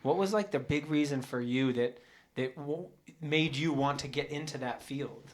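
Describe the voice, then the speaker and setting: Halting male podcast host. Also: on the high side. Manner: halting, many fillers and restarts. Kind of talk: interview hosting.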